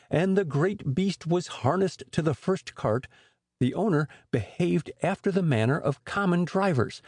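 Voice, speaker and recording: A man with a husky voice narrating a scripted story as an expressive audiobook storyteller. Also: a little high, no echo, clean studio sound.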